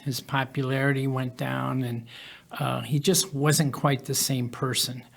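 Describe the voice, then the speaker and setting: Measured man telling a personal story. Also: medium pitch, flat delivery, vocal fry. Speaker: podcast guest, author.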